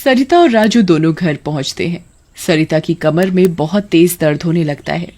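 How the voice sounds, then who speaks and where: A woman's voice: on the low side, normal speed, dark tone. A woman narrating a scripted moral tale as a storyteller.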